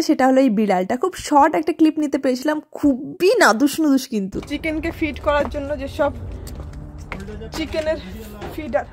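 A woman's voice: high-pitched, darkish tone, a little hiss or hum. A woman from India, narrating a casual vlog in a chatty, rambling way with hesitant fillers. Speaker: vlogger.

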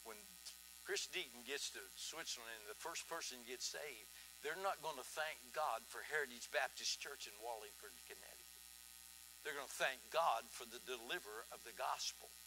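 An older man preaching a folksy sermon on a church stage, swinging from quiet asides to loud emphasis. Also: medium pitch, normal speed, noisy background. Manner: folksy, swings from soft to loud, asks "huh?".

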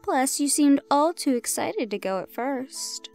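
A woman's voice: sits high, lively intonation, unhurried, a little mellow, soft background music. A woman playing a teasing, chaos-loving villainous fae in an audio roleplay drama, with a theatrical drawl. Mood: amused, breezy.

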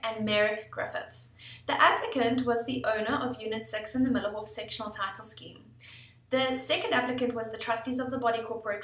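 A woman in her thirties presenting a scripted legal explainer in a steady, formal reading manner, recorded at a desk webcam in an office. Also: on the high side, far from the mic. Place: office room indoors. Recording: echoey room, voice somewhat off-mic.

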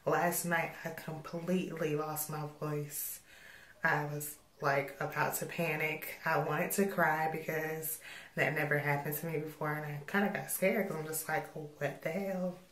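A woman in her twenties with a hoarse voice she is losing, chatting casually and rambling with lots of fillers as she vlogs to a phone camera at arm's length. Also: sits low, level tone, measured pace, fairly bright tone. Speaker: vlogger. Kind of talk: casual vlog chat. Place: parked car, then a bedroom.

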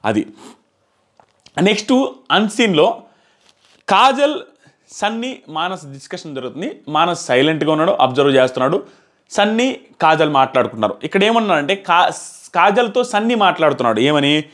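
A man's voice: fairly high pitch, sing-song, normal speed, long pauses, a bit bright. A man in his thirties giving a casual, emphatic spoken review to camera, repeating phrases for stress, on a lapel mic indoors. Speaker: reality show reviewer. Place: small plain room indoors. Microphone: clip-on lapel mic.

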